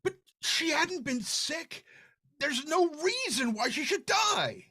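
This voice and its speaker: A man's voice: very high-pitched, sing-song, slow pace, bright, forceful tone, dry recording, clean studio sound, exaggerated voice. A man playing an anxious, confused, stammering widower in an old-time radio horror play. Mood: shocked. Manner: stammering, theatrical.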